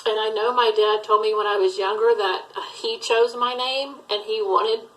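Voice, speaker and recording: A woman's voice: on the high side, fairly even intonation, moderate pace, rough recording. A middle-aged woman, raised in Alabama, who runs a thrift resale channel, chatting casually and rambling with many fillers. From Southern US, Alabama. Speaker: thrift reseller vlogger. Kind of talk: casual tag video chat.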